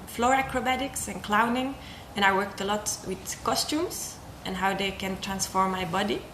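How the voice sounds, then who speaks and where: A woman's voice: medium pitch, reverberant, background noise. A young woman from Belgium, a circus artist, talking casually about her work, hesitant with many ums and restarts. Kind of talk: casual interview talk.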